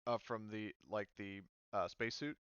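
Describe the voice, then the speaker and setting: Low, smooth-voiced male game master. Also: fairly even intonation, normal speed, a bit bright, no room sound, muffled recording. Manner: hesitant, many ums and restarts. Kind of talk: explaining rules in a tabletop game session.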